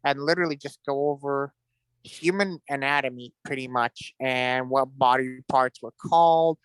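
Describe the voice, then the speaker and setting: A man from the East speaks with a smooth, clear voice at a middling pitch. He is an interview guest sharing personal stories in an open, informal way. The sound is dry, with no room around it. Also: steady tone, normal speed, no room sound, poor audio. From Middle East (Iraq). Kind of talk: casual personal storytelling.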